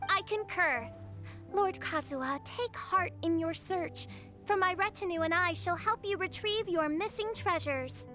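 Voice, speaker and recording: A woman's voice: very high-pitched, a little slow, pushed and bright, faint music behind, full character voice. An actress playing a grandiose teenage girl in a video game who declaims in a pompous, old-fashioned, princess-like manner. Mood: lofty, reassuring. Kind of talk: scripted video game dialogue.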